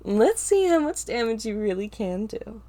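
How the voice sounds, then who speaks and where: A woman voicing a cold, power-hungry female villain who speaks in a sneering, flat tone. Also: a little high, normal speed.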